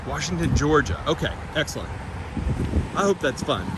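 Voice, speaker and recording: A man's voice: on the high side, expressive, moderate pace. A middle-aged man from Kentucky with a slight Southern twang and drawl, chatting with viewers as he walks through a city. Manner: friendly, reads out viewer comments. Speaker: walking vlog host. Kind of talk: live-stream chat while walking. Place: outdoors on a city street. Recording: street noise behind the voice. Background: traffic noise.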